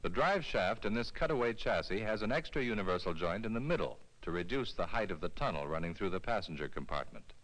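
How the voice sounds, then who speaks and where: A man reading a scripted instructional film narration in a clear, measured, explanatory way, as on a 1970s educational film soundtrack. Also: lowish voice, fairly bright tone, poor audio.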